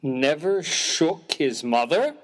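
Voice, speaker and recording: A man's voice: on the high side, big pitch swings, slow pace. A man playing a prim, earnest, mild-mannered clergyman in a dramatised story reading, speaking in a formal, precise, wordy way. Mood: puzzled, proper.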